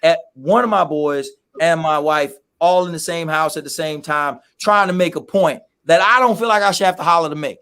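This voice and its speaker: A hoarse man hosting a casual discussion, asking probing questions and drawing the others out. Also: a little high, moderate pace, few pauses, a bit bright.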